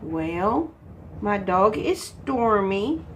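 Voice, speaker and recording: Slow-talking congested woman. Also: medium pitch, very animated, a little hiss or hum. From Texas. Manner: chatty, self-mocking, answers her own questions. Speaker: crafting vlogger. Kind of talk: casual chat while crafting.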